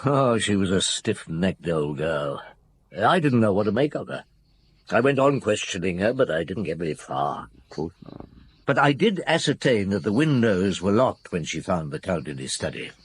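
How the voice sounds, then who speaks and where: An actor playing a shrewd, patient chief inspector in a radio drama, with a dry, probing, unhurried manner. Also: male voice, low voice, very animated, breathy edge, heavily acted. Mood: reflective narration. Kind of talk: radio drama acting with narration.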